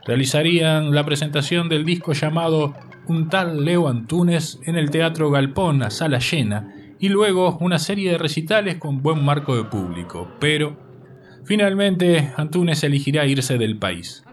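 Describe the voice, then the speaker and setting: A man's voice: on the high side, animated, a little slow, a little hiss or hum. A man with a Uruguayan accent reads a scripted biographical narration as the presenter of a radio podcast.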